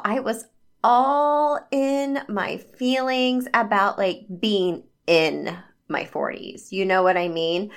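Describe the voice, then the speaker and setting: A woman's voice: fairly low pitch, fairly bright tone. A woman in her forties hosting her own podcast, talking in a chatty, upbeat way with lots of "like" and "you know.". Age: 40s. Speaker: podcast host and habit coach. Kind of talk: casual solo podcast monologue.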